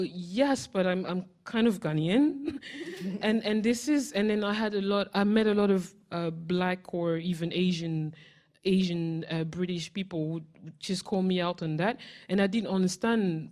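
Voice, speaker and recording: A woman's voice: lowish voice, unhurried, darkish tone. A woman from France who has lived in the UK for years, asking a rambling question from the audience and laughing between phrases. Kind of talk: question from the floor.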